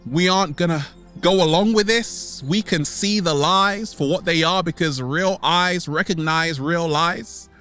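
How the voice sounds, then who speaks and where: A man's voice: fairly high pitch, pushed and bright. A man giving a motivational monologue as an online host, theatrical and exclamatory, drawing out his words. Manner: theatrical, exclamatory, with drawn-out words and sudden swoops. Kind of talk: motivational monologue to camera, catchphrase-heavy. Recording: background music under the voice.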